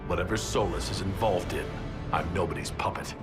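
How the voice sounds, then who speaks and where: A man's voice: sits low, brisk, over loud music, lightly acted. A man playing an embattled, defiant fantasy leader in a dramatic video game scene. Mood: firm, defiant.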